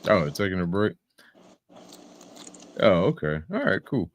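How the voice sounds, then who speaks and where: A man's voice: sits low, very animated, measured pace. Guy streaming reactions, swears a lot, mocking and baffled, voice jumps all over the place. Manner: mocking, incredulous, profane. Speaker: livestream host. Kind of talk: casual reaction commentary.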